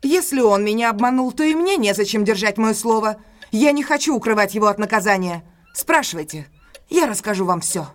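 A woman's voice: mid-range voice, expressive, brisk, lightly acted. An actress playing a proud, wronged and resolute woman in an audio drama, speaking earnestly, with emotion and formality. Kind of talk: audio drama acting, classic detective story.

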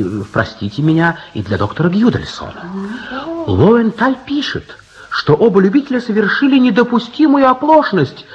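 An actor playing a polite, earnest young gentleman, explaining things apologetically in a radio play. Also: high-pitched, dull audio.

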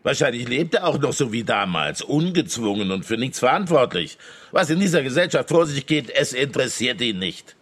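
Radio drama: hardened middle-aged judge, husky, widely inflected, curt and self-righteous. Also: male voice, mid-range voice, big pitch swings, brisk, fairly bright tone. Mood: bitter, indignant. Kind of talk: naturalistic German radio drama dialogue.

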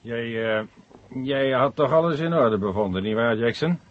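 A man's voice: medium pitch, expressive, normal speed, dull audio, natural voice. A male actor playing a stern, sarcastic police inspector in a 1970 radio drama, reproving and commanding.

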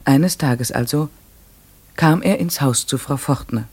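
Troubled schoolteacher telling his story in a radio play, measured and pensive, average pitch. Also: a little mellow, breathy edge. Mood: pensive, uneasy. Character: troubled, reflective schoolteacher narrating his memories. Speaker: narrator. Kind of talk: literary first-person radio play narration.

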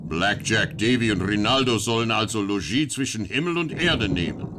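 A man's voice: on the low side, lively intonation, moderate pace, background noise, natural voice. A man narrating a scripted radio play about robbers in a wry, storytelling manner. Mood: wry, declamatory.

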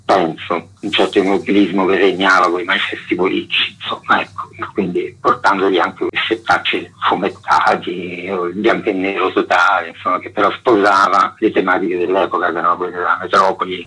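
A man's voice: sits low, bright, forceful tone, breathy edge, poor audio. A middle-aged man, talking discursively in a casual radio interview, with frequent filler words. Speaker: interview guest, graphic artist.

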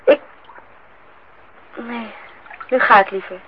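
An actress playing a hesitant woman who plays along as wife and mother in a Dutch radio play, tentative yet expressive. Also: a little high, slow pace. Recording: dull old radio recording, faint noise.

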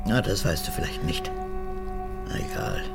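An actress playing an old, manipulative and clingy mother, an unrepentant former camp guard, in a gravelly voice for a radio drama. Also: huge pitch swings, moderate pace, music in the background, heavily acted. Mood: evasive, brooding. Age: older. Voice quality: creaky, gravelly. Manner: erratic, swinging from whispers to shrill outbursts.

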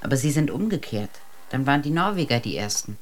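A man as an eager, quick-talking ship's boy. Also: mid-range voice, big pitch swings, lightly acted. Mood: curious. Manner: hesitant, deferential, questioning. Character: eager, naive sixteen-year-old ship's boy. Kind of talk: radio drama acting.

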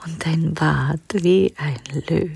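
Proud flirty soldier's woman, radio drama, low husky creaky voice, super slow, teasing n defiant. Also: a little mellow, heavily acted. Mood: admiring, seductive. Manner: breathy, languid, teasing. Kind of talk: theatrical radio drama acting.

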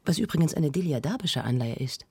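A woman's voice: deep voice, lively intonation, fast, vocal fry, darkish tone, slightly rough, no echo, exaggerated voice. An actress playing a knowledgeable woman who explains things matter-of-factly in a conversational radio drama.

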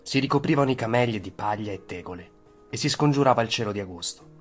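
A man's voice: fairly low pitch, quick pace, soft background music. A man reading a scripted dramatic narration as a storyteller.

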